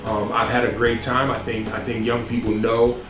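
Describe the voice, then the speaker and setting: A middle-aged man, a school principal, answering interview questions in a loud, earnest way with frequent ums and restarts. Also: medium pitch, little pitch movement, moderate pace. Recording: roomy sound with music behind.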